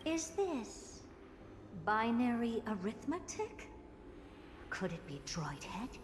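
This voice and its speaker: Imperious female genius, video game drama, high voice, widely swooping delivery, dry exasperation. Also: sing-song, takes it slowly. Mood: brooding. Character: haughty, brilliant female scientist, exasperated and self-assured. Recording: long reverb, noisy stream game audio.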